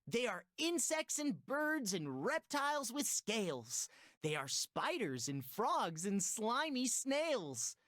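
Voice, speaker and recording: A woman's voice: on the high side, big pitch swings, takes it slowly, fairly bright tone, no room sound, studio quality, exaggerated voice. A woman voicing an upbeat guide in a preschool game, narrating in instructive sing-song rhyme. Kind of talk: scripted rhyming children's game narration.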